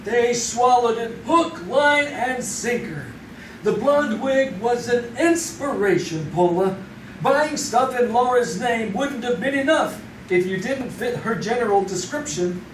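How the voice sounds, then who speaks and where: An actor playing an anxious, scheming husband in an old-time radio style drama. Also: male voice, extremely high pitch, lively intonation, measured pace. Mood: guarded, smug. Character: anxious scheming husband, embezzler balking at murder. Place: live theater stage. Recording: distant echoey stage recording, noisy.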